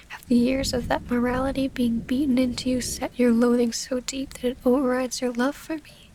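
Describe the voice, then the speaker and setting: Casting: actress as refined vampire lady, devoted yet wounded; higher-pitched breathy half-whisper, formal diction, intimate ASMR drama over faint rainy noise. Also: a little mellow, lightly acted. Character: gentle, formal female vampire noble, wounded but devoted lover.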